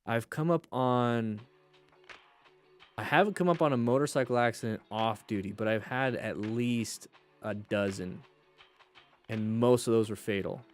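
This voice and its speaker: Male livestream host, mid-range voice, blunt and joking, animated casual chat with viewers, music underneath. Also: medium pitch, unhurried, long pauses. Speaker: firefighter.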